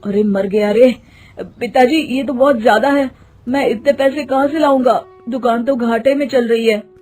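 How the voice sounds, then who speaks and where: A man's voice: very high voice, moderate pace, darkish tone, faint music behind. A man playing a boastful, naive young shopkeeper son in a cartoon story, showy and exclamatory. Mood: panicked, defeated.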